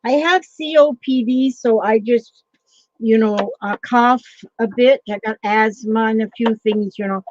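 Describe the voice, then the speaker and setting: A woman's voice: fairly high pitch, a little slow, darkish tone, a little hiss or hum. A woman hosting a casual livestream chat, rambling along with frequent ums and greeting viewers by name. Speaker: livestream host. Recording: dull lo-fi sound.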